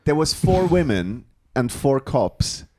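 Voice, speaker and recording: A man's voice: mid-range voice, sing-song, slow pace, darkish tone. A man co-hosting a podcast, telling a story in casual chat between frequent laughs. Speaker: podcast co-host.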